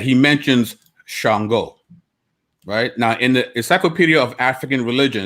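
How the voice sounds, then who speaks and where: Brief: male podcast co-host, mid-pitched, conversational, reads sources aloud. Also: fairly bright tone. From United States (Brooklyn). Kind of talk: casual discussion, reading passages aloud.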